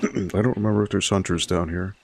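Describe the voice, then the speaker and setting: A man in his thirties hosting a library livestream, hoarse and raspy from bronchitis, chatting casually with viewers and explaining each step as he plays. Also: deep voice, fairly quick, slight background noise. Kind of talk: casual gameplay commentary to a live chat.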